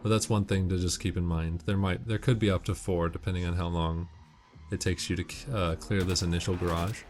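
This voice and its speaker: A man's voice: low voice, fairly even intonation, fairly quick, darkish tone. A man giving casual, matter-of-fact walkthrough commentary on a video game, explaining tips as he plays. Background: video game audio with music and gunfire.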